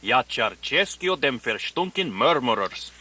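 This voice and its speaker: A man playing an earnest language teacher in a radio parody, enunciating deliberately in mock foreign phrases. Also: mid-range voice, big pitch swings, sharp tone, full character voice. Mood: instructive. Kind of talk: parody of a broadcast language lesson.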